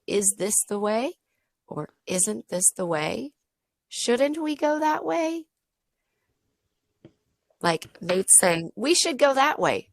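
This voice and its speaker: A woman tutoring a child in English online, slightly hoarse and losing her voice, modeling sentences slowly and clearly. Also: mid-range voice, dry recording, studio quality. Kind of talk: patient teaching over a video lesson.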